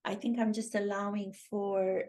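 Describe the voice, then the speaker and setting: A woman's voice: mid-range voice, little pitch movement, normal speed, a little mellow. A woman with a Romanian accent, an acting teacher thinking aloud and commenting to camera in her own video. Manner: thinks aloud, earnest and reflective. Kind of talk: talking-head commentary video.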